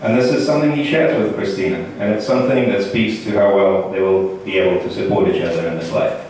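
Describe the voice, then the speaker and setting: A best man in his thirties, a native Russian speaker, reads a joking toast from notes and lands each gag with a dry, deadpan pause. His handheld-mic voice is picked up from across a large, very echoey banquet hall. The chatter of guests is clearly heard around him. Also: low voice. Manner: deadpan joking, pausing for laughs. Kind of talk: wedding toast read from notes. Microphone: handheld mic, filmed by a far camera in the audience. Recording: echoey hall from far off, audience noise.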